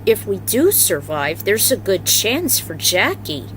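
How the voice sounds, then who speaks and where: A woman's voice: medium pitch, very animated, measured pace, fairly bright tone, a little hiss or hum, low-quality recording. A woman voicing a boastful, weary male veteran soldier in a blunt, cocky manner for a story. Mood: confident, weary.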